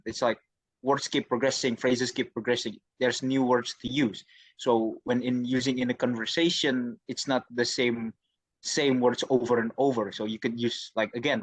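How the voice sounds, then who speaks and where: A man's voice: mid-range voice, no room sound, rough recording. A young man from Jakarta with an Indonesian accent, a university student answering interview questions in a hesitant way, often repeating his phrases. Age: young adult.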